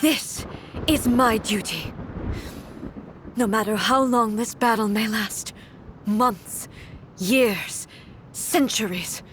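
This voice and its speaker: A woman's voice: a little high, slow pace, long pauses, a little hiss or hum. An actress voicing a cold, commanding woman ruler in a video game, with an imperious, clipped delivery. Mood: solemn. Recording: loud game music behind.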